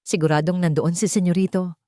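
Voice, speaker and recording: A woman's voice: lowish voice, fairly even intonation, a little fast, dark tone, no room sound, clean studio sound, lightly acted. Woman voicing a stern older Filipina housekeeper. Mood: commanding, urgent. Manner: firm, emphatic, commanding.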